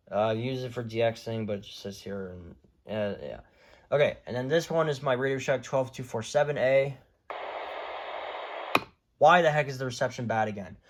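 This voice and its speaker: A man chatting informally and off the cuff as he presents a hobby collection, rambling and easily exasperated. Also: mid-range voice. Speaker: hobbyist video creator presenting a radio collection. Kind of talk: casual unscripted show-and-tell chat.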